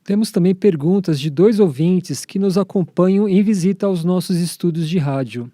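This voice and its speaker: A Brazilian man with a high, soft-toned voice hosts a radio program. He reads his questions and links in a scripted style, in a dry studio sound. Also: normal speed, dry recording. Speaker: radio host.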